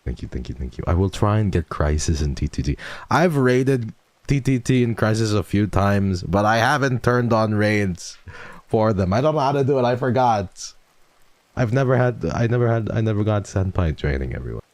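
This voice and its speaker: Deep-voiced man, lively swearing streamer. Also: moderate pace, no echo. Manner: swears freely, jumps between mumbling and excited high yelps. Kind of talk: casual chat with viewers.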